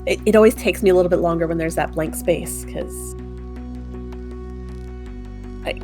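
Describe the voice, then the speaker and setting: A woman talks casually as a player in a tabletop roleplaying game. Her voice sits a little low, and music plays clearly behind her. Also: quick pace.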